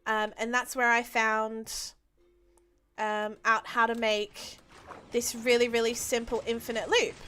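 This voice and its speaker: A woman's voice: on the high side, flat delivery, unhurried, pushed and bright, a little hiss or hum. A young woman chatting casually while she streams a game, with lots of ums and easy laughs. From Australia. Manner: chatty, many ums and restarts, laughs easily. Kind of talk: casual live-stream chat while playing.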